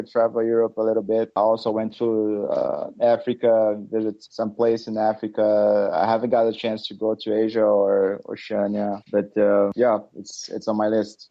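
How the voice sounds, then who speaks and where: Brazilian man with a dark, muffled voice. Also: lowish voice. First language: Portuguese. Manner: halting, many fillers and restarts, laughs often. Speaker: guest. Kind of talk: casual interview chat.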